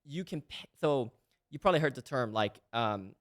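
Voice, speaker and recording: A man's voice: medium pitch, expressive, a bit bright. A man in his twenties from Malaysia, a guest in a casual interview, talking eagerly and often checking in with "right?". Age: 20s. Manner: fast, eager talk with frequent "like" and "right?".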